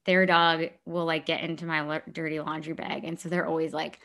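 A woman with a slightly low voice talks off the cuff as a podcast co-host. Her wording is loose and slangy, with swearing mixed into the easy conversation. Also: level tone, brisk, fairly bright tone. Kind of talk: casual, unscripted chat.

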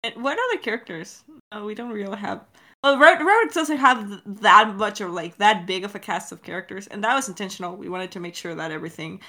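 A woman with a bright voice and very lively pitch chats off the cuff with a creative partner. She sounds expressive and teasing, giggling easily as she answers questions about a show. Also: quick pace. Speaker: audio drama creator answering listener questions. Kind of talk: casual unscripted chat with a co-creator.

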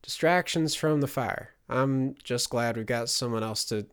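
A man's voice: mid-range voice, unhurried, smooth, natural voice. A man voicing a weary, scornful middle-aged woman held captive, with a bitter manner, in an audiobook. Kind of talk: audiobook character acting.

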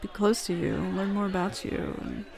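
A woman playing a flustered, smitten, jealous roommate in an intimate roleplay audio, speaking haltingly and nervously. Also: medium pitch, sing-song. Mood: shy, tender. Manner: halting, nervous, stammering with breathy laughs. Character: flustered, smitten, jealous bad-girl roommate confessing a crush. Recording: bar crowd murmur behind voice.

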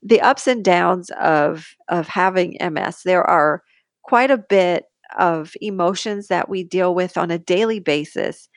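A woman's voice: fairly low pitch, measured pace, no room sound, studio quality. A woman co-hosting a casual podcast, talking in a hesitant, searching way. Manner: hesitant, full of ums and restarts. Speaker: podcast co-host.

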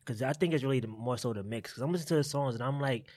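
A man's voice: medium pitch, rapid-fire, a little mellow. A man in a casual chat who hesitates and repeats words before he makes his point.

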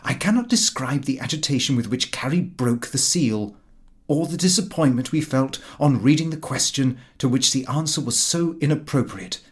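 Lively, animated male audiobook narrator. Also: mid-range voice, normal speed. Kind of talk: expressive scripted narration of a comic diary.

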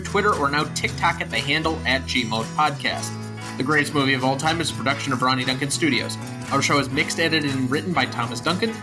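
A young American man talking about films as a podcast host. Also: on the high side. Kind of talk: film podcast discussion and sign-off.

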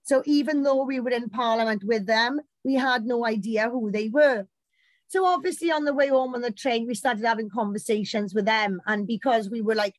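British woman, campaign organiser; loud, talkative storyteller, high-ish pitch. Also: no echo. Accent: British English. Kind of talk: casual chat.

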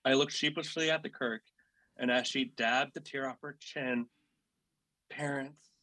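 A man co-hosting a podcast, reading a personal essay aloud and acting out the dialogue in an expressive storytelling manner. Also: medium pitch, a little slow, no echo. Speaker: podcast co-host.

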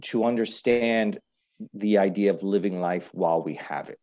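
A man hosting a casual, reflective conversation, speaking thoughtfully and haltingly and often checking in with the listener. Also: deep voice, mellow. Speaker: host.

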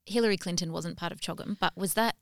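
A woman's voice: lowish voice, brisk, gravelly, no echo, clean studio sound. A woman asking questions as an interviewer in a casual, jokey chat. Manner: jokey, informal. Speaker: interviewer, podcast co-host.

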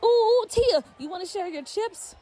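Breezy chatty schoolgirl played by a woman, bright and high, swooping. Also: lively intonation. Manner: chatty, breezy, quick to brush off. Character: bubbly self-absorbed schoolgirl friend.

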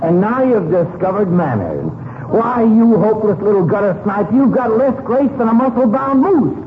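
Blustery insult-slinging doctor, high voice swinging all over, old 40s radio comedy sound. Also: male voice, sing-song, normal speed. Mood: scornful. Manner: florid, theatrical insults. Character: blustery, sharp-tongued male family doctor. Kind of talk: 1940s radio comedy acting. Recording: noisy narrowband 1940s radio recording.

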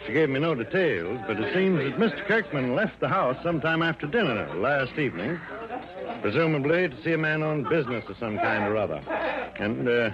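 A man's voice: medium pitch, lively intonation, brisk, natural voice. Man acting a bland insurance exec, hesitant and careful, old radio drama, lo-fi with busy chatter behind. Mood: matter-of-fact. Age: middle-aged. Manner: hesitant, evasive, mildly formal. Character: casual, bland, shrewd insurance executive in his late forties. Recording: muffled vintage radio broadcast, background chatter.

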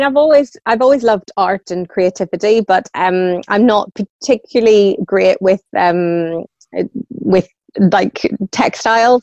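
A woman's voice: mid-range voice, a little mellow, no echo. Northern Irish woman, thirties, conversational guest; dry, slightly muffled home recording. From Northern Ireland. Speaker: podcast guest, small business founder. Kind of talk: casual conversational storytelling.

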